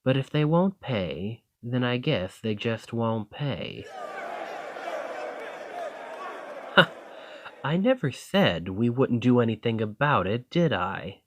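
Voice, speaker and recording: A man's voice: medium pitch, big pitch swings, takes it slowly, slight background noise. A man playing a roguish bandit leader in an audio roleplay, confident and wry, with a folksy, teasing, drawling manner. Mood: rallying, defiant. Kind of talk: scripted roleplay acting addressed to the listener.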